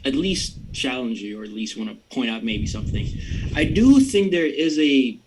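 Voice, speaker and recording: A man's voice: medium pitch, expressive, normal speed, darkish tone, rough recording. Man chatting over faint noise. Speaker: podcast co-host. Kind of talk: casual music discussion.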